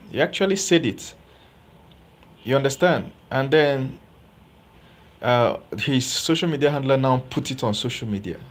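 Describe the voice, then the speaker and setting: A man giving live political commentary as host, chatty and often checking in with viewers. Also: medium pitch. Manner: conversational, asks viewers rhetorical questions.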